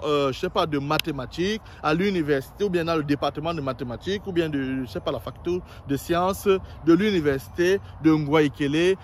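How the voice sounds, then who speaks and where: A man explains how to write a visa motivation letter, his voice sitting a little higher than most men's. He talks straight to the viewer, stumbling and restarting now and then. He acts out sample lines in the first person. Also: a little hiss or hum. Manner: explanatory, addresses viewers directly, frequent hesitations and restarts. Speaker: visa application adviser. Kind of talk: spoken tutorial on writing a motivation letter.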